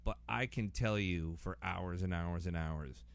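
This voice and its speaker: A man hosting a podcast, talking casually in a rambling way and getting animated as he acts out imagined quotes. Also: low-pitched, measured pace, gravelly, no room sound. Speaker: podcast host.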